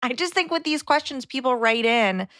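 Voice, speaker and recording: A woman's voice: high-pitched, lively intonation, moderate pace, fairly bright tone, dry recording, clean studio sound. A woman podcast co-host talking in casual conversation. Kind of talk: casual chat.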